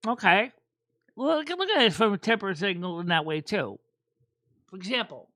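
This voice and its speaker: A man hosting a casual chat stream about tarot cards, talking in a rambling, halting way with many fillers and restarts. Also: high voice, a bit bright, no echo. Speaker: host.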